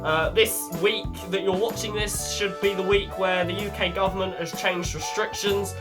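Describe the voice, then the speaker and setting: A young man with a blocked, croaky voice from a head cold, talking bluntly and chattily as a self-help vlog host. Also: high-pitched, fairly even intonation, moderate pace, music in the background. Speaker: channel host.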